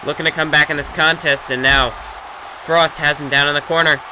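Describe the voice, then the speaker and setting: A young man doing excited play-by-play for wrestling matches. His voice jumps up loudly on big moves, and he often restarts his phrases. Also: fairly high pitch, brisk, noisy background. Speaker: play-by-play commentator for his own video game matches.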